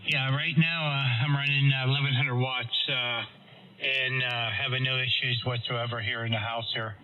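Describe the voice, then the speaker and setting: A man talks casually as a ham radio operator, in halting technical chat. The transmission is somewhat dull and muffled, with light noise behind the voice. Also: medium pitch, steady tone. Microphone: heard over amateur radio.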